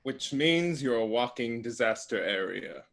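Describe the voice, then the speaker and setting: A man's voice: medium pitch, expressive, a little slow, vocal fry, a bit bright. A man playing a domineering eldest-brother god of the underworld, condescending and threatening, in a staged play reading. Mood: scornful.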